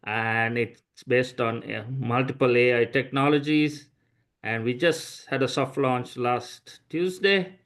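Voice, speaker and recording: A man's voice: medium pitch, slow, a bit bright. A man who co-founded a startup gives a brief, polite introduction. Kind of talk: self-introduction. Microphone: video call.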